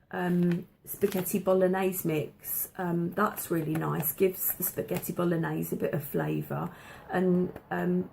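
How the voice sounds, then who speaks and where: A woman chatting casually to camera at home, with a slight cough and a dry throat, pausing often with ums and repeating words for emphasis. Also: lowish voice, gravelly, a little mellow, a little hiss or hum, poor audio. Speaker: vlogger showing a food haul.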